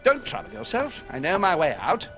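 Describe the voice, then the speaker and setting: A man acting a courteous, scheming gentleman in a video game, with a polished, insinuating and formal manner. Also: sits high, sing-song, moderate pace, vocal fry, over music, exaggerated voice. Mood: suavely polite.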